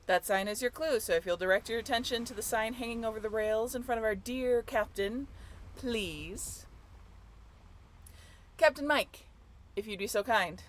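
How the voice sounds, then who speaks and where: A woman's voice: a little high, moderate pace, a little hiss or hum, natural voice. A woman playing an upbeat young tour guide on a sailing ship in a scripted audio roleplay, with a chatty, playful way of talking. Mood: brisk, instructive. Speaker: role-play voyage guide.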